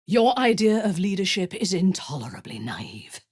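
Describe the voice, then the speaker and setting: An actress voicing a ruthless, haughty female warrior commander in a video game, with a husky, breathy voice and an imperious, menacing delivery. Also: mid-range voice, sing-song, moderate pace, darkish tone, no echo, clean studio sound. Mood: imperious, scornful. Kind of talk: dramatic video game voice acting.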